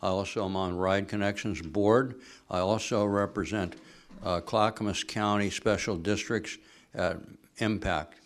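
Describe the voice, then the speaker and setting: A man introduces himself at a committee meeting with a lowish voice. He is heard from across the room and adds a few ums and uhs as he lists his roles. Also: fairly even intonation, unhurried. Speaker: committee member.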